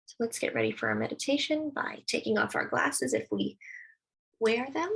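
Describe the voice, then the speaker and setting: A woman leading a guided meditation, speaking slowly and soothingly as she gives gentle instructions. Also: a little high, wildly swooping pitch, gravelly, slightly rough, rough recording.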